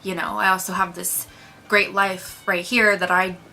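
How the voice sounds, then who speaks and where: Bright-voiced woman chatting through a movie review. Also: mid-range voice, little pitch movement, normal speed, gravelly, soft background music, low-quality recording.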